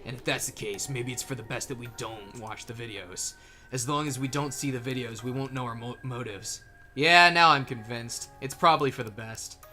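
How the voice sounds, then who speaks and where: An earnest young detective, voiced by a man, reasons things out reflectively. His voice is mid-pitched and bright, with faint music behind. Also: moderate pace. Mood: earnest, reasoning. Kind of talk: anime game fandub acting.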